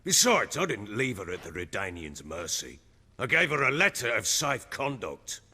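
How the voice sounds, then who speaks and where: Burly middle-aged game warlord, husky low voice, sentimental, animated pitch, reverberant sound. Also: male voice, normal speed, full character voice. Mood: gruff, matter-of-fact. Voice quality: gruff, husky. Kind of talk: dramatic video game acting.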